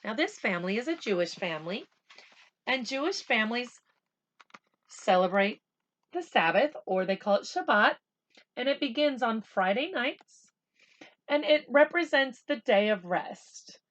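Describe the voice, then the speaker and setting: A woman's voice: a little high, muffled recording. Woman reading aloud expressively to children. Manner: expressive, slow and deliberate when reading, chatty when explaining; explains words simply, as if to children. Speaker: school reading teacher reading a picture book aloud for children. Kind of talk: read-aloud storytelling with casual explanatory asides.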